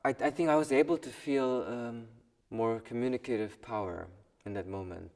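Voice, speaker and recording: A young man in his twenties from South Korea, a violin student, speaking thoughtfully with pauses in an interview. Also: mid-range voice, in a large hall, far from the mic. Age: 20s. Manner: thoughtful, soft-spoken with pauses and small laughs. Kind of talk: personal documentary interview.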